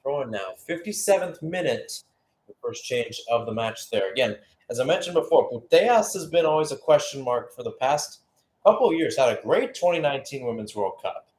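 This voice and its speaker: Male football play-by-play commentator, mid-pitched voice, radio-style live call with conversational, self-correcting analysis. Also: animated, fairly quick, a little mellow.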